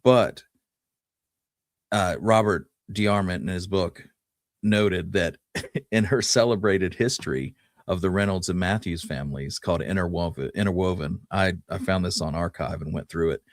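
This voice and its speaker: Male podcast co-host, low voice, dry recording, casual and laid-back history talk. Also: moderate pace, no echo.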